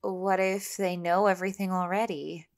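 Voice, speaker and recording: A woman's voice: on the low side, flat delivery, moderate pace, close to an everyday voice. An actress playing a wary, insistent woman explorer in an audio drama. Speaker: lead actor in audio drama.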